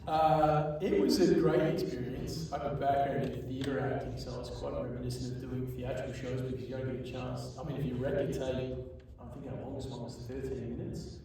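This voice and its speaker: An Australian man, an actor, recalling a shoot in a relaxed, anecdotal way during a live stage Q&A. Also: medium pitch, brisk, hardly any pauses, crowd noise. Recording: very echoey venue, distant mic.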